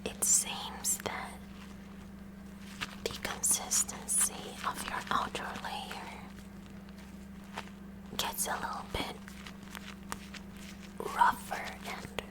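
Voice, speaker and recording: A woman voicing a kooky, curious student science club president in a whispered ASMR roleplay, musing in a halting, awkward way. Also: low-quality recording. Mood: curious observing.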